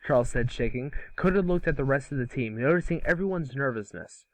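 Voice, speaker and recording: A man's voice: medium pitch, expressive, fairly quick, no room sound, poor audio. A man narrating a script in an amateur online table read. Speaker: narrator and series co-creator.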